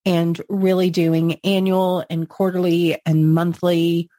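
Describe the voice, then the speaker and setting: A woman's voice: lowish voice, little pitch movement, takes it slowly, mellow, dry recording, clean studio sound. A woman co-hosting a podcast, sounding slightly congested, talking in an appreciative, encouraging, conversational way. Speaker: podcast co-host.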